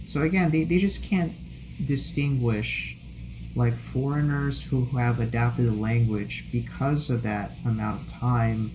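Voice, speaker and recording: A young man talking casually to camera, animated and digressive, thinking out loud as he answers questions. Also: a little slow. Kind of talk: casual rambling talk to camera. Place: hotel room. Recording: noisy low-grade home recording.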